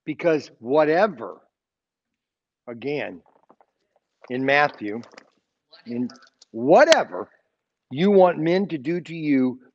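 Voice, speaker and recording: Sixty-something guy doing a bible class, high voice that jumps all over, keeps going "okay?". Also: animated, takes it slowly, long pauses, lo-fi sound. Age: 60s. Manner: rambling, keeps checking in with "okay?". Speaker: Sunday school teacher. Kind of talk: informal Bible lesson.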